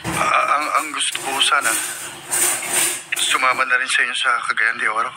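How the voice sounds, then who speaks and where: A man's voice: mid-range voice, steady tone, normal speed, lightly acted. An actor playing a troubled, humble younger man in a Filipino radio drama, solemn, respectful and hesitant. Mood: resigned, solemn. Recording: noisy radio broadcast.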